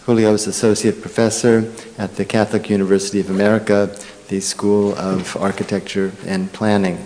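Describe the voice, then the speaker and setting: A man's voice: lowish voice, flat delivery, a little fast, dark tone. A man moderating an event, giving brief, formal introductions of the next speaker. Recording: reverberant hall with faint noise.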